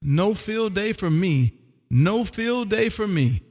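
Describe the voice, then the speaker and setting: A man's voice: high voice, lively intonation, background noise. Folksy ham radio man with heavy reverb. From Texas, United States. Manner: folksy showman, drawn-out call signs, peppered with "man". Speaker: amateur radio operator hosting a live stream. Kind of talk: ham radio contact calls and casual chat. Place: home radio room. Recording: long hall-like reverb.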